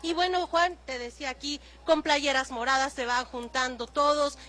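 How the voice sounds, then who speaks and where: Upbeat high woman reporting live. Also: steady tone, moderate pace, fairly bright tone, faint music behind. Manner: upbeat, promotional. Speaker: field reporter. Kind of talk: live on-location news report. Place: outdoor amusement park.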